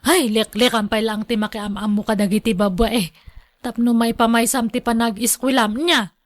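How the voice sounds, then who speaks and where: A woman's voice: a little high, fairly even intonation, moderate pace, dry recording, lightly acted. A woman playing a strict, envious, middle-aged mother with an Ilocano accent in a radio drama, scolding and grumbling.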